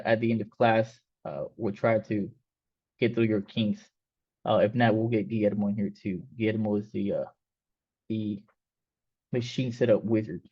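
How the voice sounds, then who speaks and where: A man whose first language is Spanish, teaching an online coding class in a loose, casual way with many fillers and restarts. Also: mid-range voice. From Mexico. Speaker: coding instructor. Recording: slightly dull, lo-fi sound.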